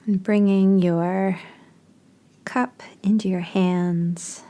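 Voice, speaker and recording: A woman leading a guided meditation in a soft, breathy voice with gentle, unhurried, spaced phrases. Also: medium pitch, steady tone.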